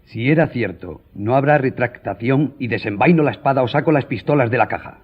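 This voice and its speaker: An actor playing a proud, composed gentleman journalist in a radio drama, speaking formally and firmly. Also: medium pitch, breathy edge, lo-fi sound, close to an everyday voice. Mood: firm, offended. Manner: formal, measured, firm. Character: proud, composed male newspaper journalist, duel-ready gentleman.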